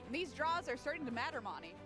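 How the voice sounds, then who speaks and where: A woman's voice: high-pitched, very animated, fast. A woman hosting an event broadcast from a news desk, with upbeat, polished announcer delivery. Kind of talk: scripted and live event broadcast presenting. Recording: broadcast audio with music behind.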